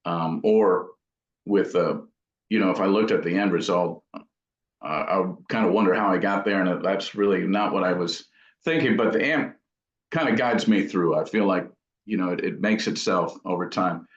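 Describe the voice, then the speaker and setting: A man's voice: low voice, normal speed. A man being interviewed as an amp builder, talking casually in thoughtful, rambling explanations. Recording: dull, echoey room sound.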